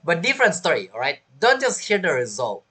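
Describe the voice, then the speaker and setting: A man giving casual reaction commentary over a game as a streamer, blunt, jokey and halting. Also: a little high, huge pitch swings, pushed and bright, husky. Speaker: gaming streamer.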